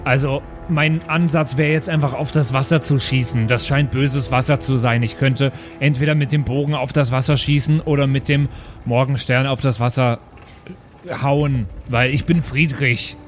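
A man's voice: medium pitch, fairly even intonation, normal speed. A man talking casually and improvising as a player in a live tabletop role-playing game. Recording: prominent music behind the voice.

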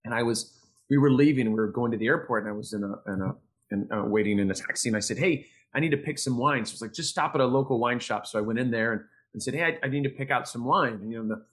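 A man's voice: mid-range voice, fast talker. A man hosting a podcast, talking in an informal, effusive and wordy way. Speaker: podcast host. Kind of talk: casual interview chat.

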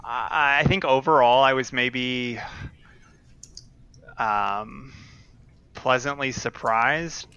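Man, podcast co-host, strikingly bright tone, smooth clear voice, casual hedging talk. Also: mid-range voice, very slow, long pauses, no echo, muffled recording. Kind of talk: casual discussion of manga.

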